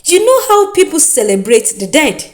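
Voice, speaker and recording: A woman's voice: sits high, sing-song, moderate pace. A woman with a Nigerian accent voicing a scheming, hungry old village father in a folktale, plotting slyly.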